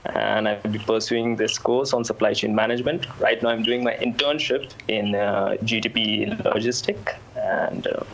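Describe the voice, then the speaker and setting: Creaky-voiced young Indian-accented man. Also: fairly low pitch, fairly even intonation, moderate pace, hardly any pauses, rough recording. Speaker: student. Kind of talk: webinar self-introduction. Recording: faint noise on online call.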